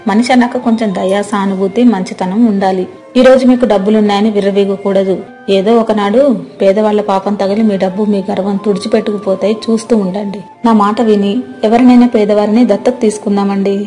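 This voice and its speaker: A woman's voice: mid-range voice, steady tone, fast talker, dark tone, natural voice. A woman playing a kindly, moralizing wife who earnestly admonishes her husband in a dramatized story, music behind her. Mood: reproachful, earnest.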